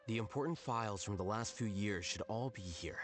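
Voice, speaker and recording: Actor as composed, low-voiced male investigator. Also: over music, lightly acted. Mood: matter-of-fact. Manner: composed, matter-of-fact, measured. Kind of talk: English video game voice acting.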